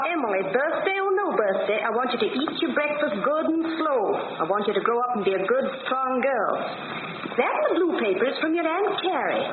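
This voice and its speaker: An actress playing a brisk, affectionate, folksy small-town mother in 1940s radio style. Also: fairly high pitch. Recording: echoey, noisy, tinny old radio.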